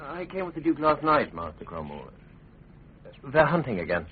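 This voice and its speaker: An actor playing an uneasy, deferential clerk, hesitant and defensive, in a radio drama. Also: male voice, medium pitch, big pitch swings, normal speed, natural voice. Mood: uneasy, guarded. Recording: tinny radio recording, faint background noise.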